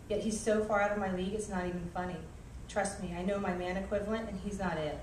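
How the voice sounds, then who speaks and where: A woman reads her own comic essay in a wry, self-deprecating way. Her voice sits at an average pitch. She is picked up from a distance in an echoey room, with faint noise underneath. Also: a little mellow. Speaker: author reading aloud, flight attendant. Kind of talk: expressive reading of a humorous personal essay.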